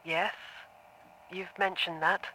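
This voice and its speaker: Woman acting calm therapist lady, reassuring, measured, bright forward voice, audio drama. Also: on the low side, slight background noise, rough recording. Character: composed, patient female therapist, quietly probing. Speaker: actor playing a therapist.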